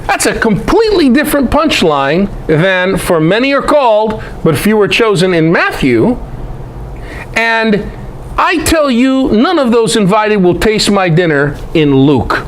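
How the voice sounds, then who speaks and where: A man teaches scripture like a lively lecturer, in a high voice that swings widely in pitch. He is emphatic and conversational, posing rhetorical questions and dropping folksy asides. Noticeable noise sits behind him. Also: unhurried, rough recording. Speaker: presenter and Bible teacher. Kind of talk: animated lecture on scripture scholarship.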